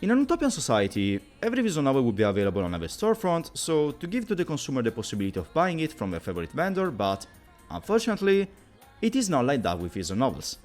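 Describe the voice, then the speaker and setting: A man's voice: medium pitch, huge pitch swings, rapid-fire, a little mellow, soft background music. A man giving a scripted, lecture-style explainer as a video presenter, with wry, teacherly asides.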